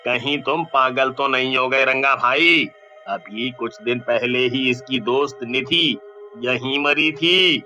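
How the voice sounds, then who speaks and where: Casting: sleazy, jumpy young village crony, acted by a man, piercingly bright voice, unhurried, for a cartoon. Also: medium pitch, soft background music. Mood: uneasy. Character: sleazy young village sidekick, scheming yet easily panicked. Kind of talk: Hindi cartoon story voice acting.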